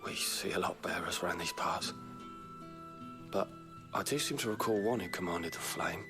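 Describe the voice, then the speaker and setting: Low-pitched villager, music behind. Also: male voice, moderate pace. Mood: matter-of-fact. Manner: colloquial, matter-of-fact. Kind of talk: video game cutscene acting.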